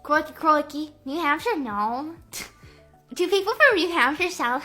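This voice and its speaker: A young woman from the Midwest chatting playfully on a live stream, answering chat guesses, full of "like" fillers and drawn-out vowels. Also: sits high, lively intonation, bright, forceful tone, soft background music. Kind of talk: casual live-stream chat reading comments.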